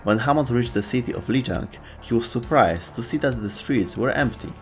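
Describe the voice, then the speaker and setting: A man's voice: mid-range voice, lively intonation, fairly quick, soft background music. A man narrating a scripted video game lore explainer as its host.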